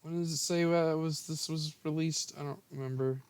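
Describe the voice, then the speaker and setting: A man's voice: on the high side, steady tone, moderate pace. A young man casually reading a story aloud and bantering about it. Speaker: host reading own old fan fiction.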